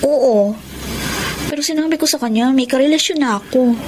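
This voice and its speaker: An actress playing a young woman in a live-in relationship, speaking softly and hesitantly in a radio drama. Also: high voice, normal speed, noisy background, lightly acted. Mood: defensive. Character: young woman Rhea, guarded, pleading.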